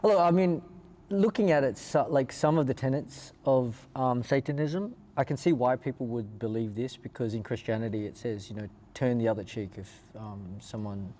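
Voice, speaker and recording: A man's voice: mid-range voice, normal speed, mellow. A man from Perth with an Australian accent, telling their story in a casual, informal and slightly rambling way as an interview guest. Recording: clear background ambient noise.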